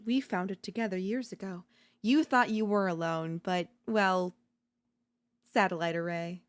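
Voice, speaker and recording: A woman playing an obsessive, domineering yandere in a dark ASMR roleplay, seductive and smug, slow and teasing, slipping into whispers. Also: lowish voice, fairly even intonation, close to an everyday voice. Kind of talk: dark ASMR audio roleplay, intimate dramatic acting.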